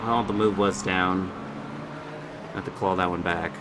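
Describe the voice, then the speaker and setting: A man's voice: low-pitched, fairly even intonation, a little slow, background noise. A man giving casual, conversational commentary on a sim race, speaking in a loosely improvised way.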